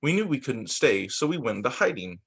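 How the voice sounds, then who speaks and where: A man's voice: mid-range voice, big pitch swings, brisk, a bit bright, husky. A man reading a children's book aloud as a teacher, occasionally stumbling, repeating phrases and adding casual asides. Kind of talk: children's story time read-aloud.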